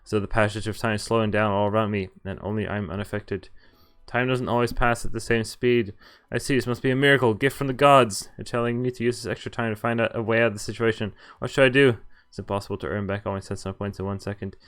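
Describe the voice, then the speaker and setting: A man's voice: on the low side, steady tone, fast talker, a bit bright, clear, resonant tone. A man streaming a game, reading visual novel text aloud and reacting casually. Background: game music.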